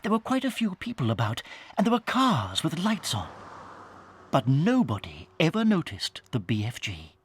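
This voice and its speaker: A man narrating a children's story in a measured, dramatic storytelling manner. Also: fairly high pitch, huge pitch swings, slightly rough. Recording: studio narration over music.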